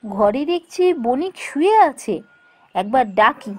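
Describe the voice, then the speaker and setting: A woman's voice: on the high side, animated, measured pace. A woman playing a curious, caring young village woman in a folk-tale cartoon, polite and questioning. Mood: worried.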